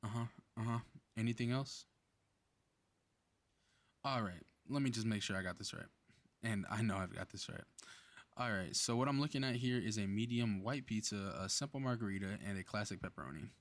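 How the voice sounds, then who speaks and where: A man's voice: on the low side, brisk, pauses often, natural voice. A man playing a cocky, playful superhero in a flirty ASMR roleplay audio drama, with casual teasing banter.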